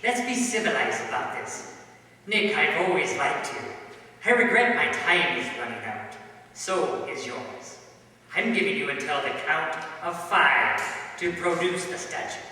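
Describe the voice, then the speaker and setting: A man acting as a pompous, mannered crook from an old radio thriller. His voice is very sharp and bright, his diction formal and melodramatic. It is heard from a distance in a big echoey hall. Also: fairly high pitch, big pitch swings, measured pace, exaggerated voice. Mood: suave threat. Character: pompous, polite villain who turns craven when threatened. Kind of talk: 1940s detective radio play acting.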